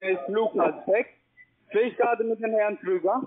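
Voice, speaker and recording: A man's voice: high-pitched, steady tone, moderate pace. A man speaking as a caller in a phone conversation. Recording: muffled phone line.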